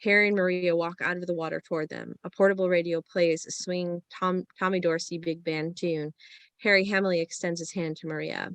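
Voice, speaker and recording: A woman's voice: on the low side, steady tone, a little fast, fairly bright tone, no echo. A woman narrating the stage directions of a screenplay in a scripted reading.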